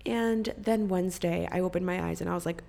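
A woman's voice: lowish voice, fairly even intonation, a little fast, gravelly, dark tone. A young woman hosting a podcast, chatting casually and rambling, swearing freely, and sounding congested. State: congested, coughing. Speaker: podcast host. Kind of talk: casual chat.

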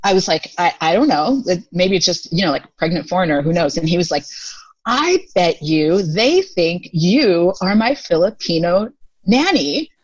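A woman's voice: on the low side, sing-song, normal speed, slightly rough, dry recording, lo-fi sound. An American woman in her thirties telling stories as a podcast guest, talking casually with lots of fillers and laughs. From United States. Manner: chatty, many fillers, laughs often. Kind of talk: casual storytelling interview.

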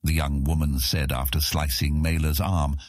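A man with a husky voice reading scripted fantasy narration as an audiobook narrator. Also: extremely low pitch, little pitch movement, moderate pace, dry recording, studio quality.

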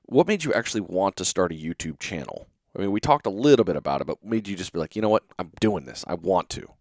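A man's voice: low voice, lively intonation, fast, darkish tone, no room sound, studio quality. A man hosting a casual fishing interview, asking rambling questions and laughing often. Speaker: podcast host.

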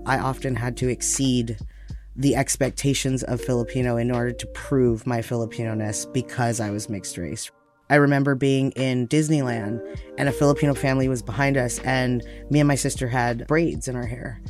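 A man's voice: mid-range voice, fairly even intonation, normal speed, over music. A man with an American accent telling personal stories reflectively and conversationally as an interview guest.

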